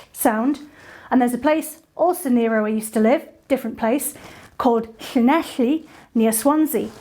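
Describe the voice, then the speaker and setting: A woman hosting a casual online singing lesson, chatty and playful with quick asides. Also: on the high side, a little mellow. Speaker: singing teacher and host.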